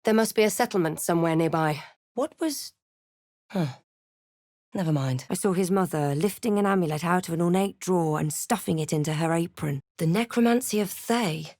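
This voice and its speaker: A woman playing a watchful, wry fantasy adventurer in a video game, recounting her journey in a measured storybook way with dry asides. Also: lowish voice, darkish tone, dry recording. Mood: alert, brooding. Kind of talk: video game character voice lines.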